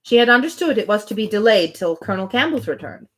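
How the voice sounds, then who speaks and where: A woman reading a novel aloud, voicing a poised young gentlewoman who asks questions in a measured, formal, sympathetic manner. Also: medium pitch, very animated. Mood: polite, restrained.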